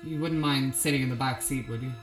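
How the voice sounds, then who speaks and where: A man's voice: medium pitch, fairly even intonation, quick pace, faint music behind, close to an everyday voice. A man playing an evasive, chummy old police partner in an improvised noir audio play, coaxing and noncommittal. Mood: coaxing, controlling. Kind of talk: improvised film noir audio drama acting.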